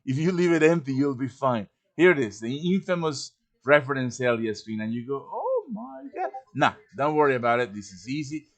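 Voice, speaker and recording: A man's voice: medium pitch, sing-song, a little fast, few pauses. A man hosting an informal livestream tutorial, chatty and explaining things step by step with frequent check-in questions. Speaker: host and instructor of a livestream tutorial. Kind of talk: informal live software tutorial.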